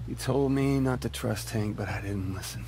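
An actor playing a stubborn, grieving young rebel in a video game, with intense, emotional delivery and a gravelly voice. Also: male voice, fairly low pitch, normal speed, a little mellow, faint music behind, lightly acted. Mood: grieving, vengeful. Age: young adult. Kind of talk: cinematic video game acting.

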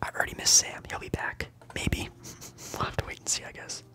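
Whispering ASMR streamer. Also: brisk. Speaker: ASMR livestream host. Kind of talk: whispered ASMR chat answering live viewers.

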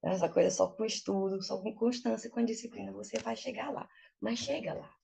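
A woman's voice: medium pitch, fairly quick, creaky, husky. A young adult woman with a Brazilian accent, an English teacher explaining informally on a video call, often posing rhetorical questions and acting out examples. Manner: animated, uses rhetorical questions and acted-out examples. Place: small plain room indoors. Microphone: video call, laptop close up.

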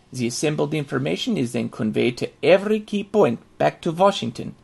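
A man playing an earnest military handbook author, narrating in a formal, measured, instructive way for an audio drama. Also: medium pitch, vocal fry, breathy edge. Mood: grave instruction.

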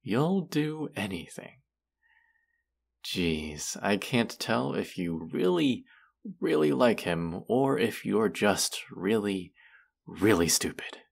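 A man performs a smug young man in an audio roleplay, the condescending twin brother. His voice is very low and gravelly, with slow, taunting drawls. He talks straight at the listener in a mocking, teasing way. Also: full character voice. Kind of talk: scripted roleplay addressed to listener.

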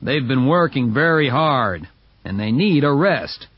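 A man's voice: mid-range voice, animated, measured pace, breathy edge, no room sound, highs cut off. A man voicing a seasoned, dry-spoken onlooker giving advice in a read-aloud story. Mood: matter-of-fact. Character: wry, seasoned northern onlooker men.

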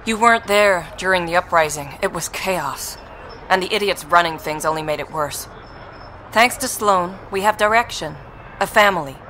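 An actress playing a firm, matter-of-fact, guarded recruiter for an outlaw gang in a video game. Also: female voice, on the low side, normal speed, pushed and bright. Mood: earnest. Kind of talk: scripted game dialogue. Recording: noisy industrial ambience behind.

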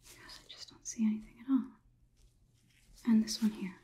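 A young woman whispering an ASMR roleplay close to the microphone as a detached robot overlord, in a deliberate, inquisitive, clinical manner. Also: fairly high pitch, steady tone, mellow, husky. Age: young adult. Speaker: ASMR performer.

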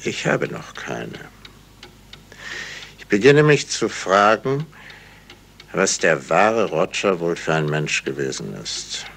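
An actor playing a thoughtful, suspicious amateur sleuth in a deliberate 1970s German radio crime drama style. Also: male voice, low-pitched, very animated, gravelly, a bit bright, exaggerated voice. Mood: pondering. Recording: hissy old radio play recording.

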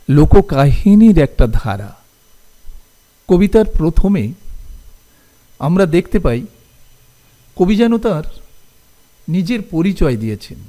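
Slow, mellow-voiced male teacher, higher pitch. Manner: didactic, often posing questions to students. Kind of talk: literature lesson explaining a poem to students.

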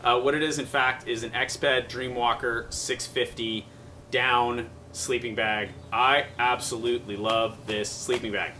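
A middle-aged man walks through his backpacking gear in a relaxed, rambling way, full of ums and self-deprecating laughs. His voice is bright and clear in tone. He sounds a few meters from the camera mic, out in a backyard with faint ambience behind him. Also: mid-range voice, unhurried, far from the mic, slight background noise. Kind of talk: casual gear walkthrough. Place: backyard patio outdoors.

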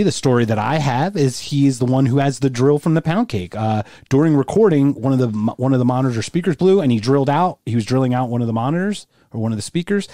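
A man's voice: mid-range voice, warm tone, dry recording, studio quality. A man hosting a podcast, chatting casually and telling stories in a playful, joking way. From Philadelphia area. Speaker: podcast host.

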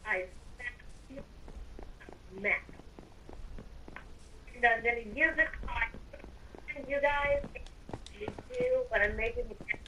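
A woman's voice: fairly high pitch, slow, pauses often, bright, forceful tone, a little hiss or hum, rough recording. A woman improvising casual roleplay over a game voice chat. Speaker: gamer playing an evil character in roleplay.

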